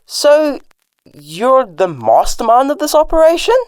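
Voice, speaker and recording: A woman playing an earnest investigator hero in a radio drama, with a deliberate delivery. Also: sits high, sing-song, a little mellow, dry recording, clean studio sound. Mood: questioning.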